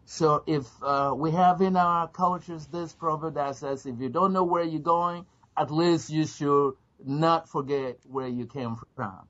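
A man from Africa giving reflective interview answers as an educator, with deliberate, emphatic phrasing. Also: fairly high pitch, steady tone, a bit bright. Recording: dull, lo-fi interview recording.

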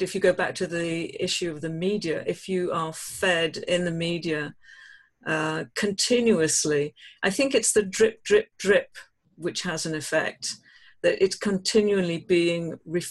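An adult leading a classroom discussion, speaking in a measured, thoughtful way with frequent pauses. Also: medium pitch. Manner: measured, thoughtful, many ums. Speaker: discussion leader.

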